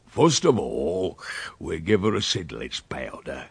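A man voicing a wicked, droll old country uncle with a rural English accent, gruff and fruity, spinning tall tales in a storybook reading. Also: deep voice, big pitch swings, no room sound, voice pushed down, full character voice. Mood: sly. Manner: sly, drawn-out dialect storytelling.

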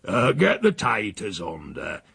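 A gruff, stubborn old countryman barks his demands in broad rural speech, as a man puts him on. The voice is gravelly and husky, with big swings in pitch, and it is recorded close and dry. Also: huge pitch swings, unhurried, no room sound, exaggerated voice. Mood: grumbling. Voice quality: rough rural dialect speech. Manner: loud, grumbling, demanding.

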